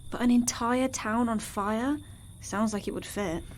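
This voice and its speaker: Sassy, caring female investigator, played by an actress, audio drama. Fairly high, assertive, faint ambience. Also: measured pace, a little hiss or hum, close to an everyday voice. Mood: uneasy. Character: sassy, compassionate woman on investigating team.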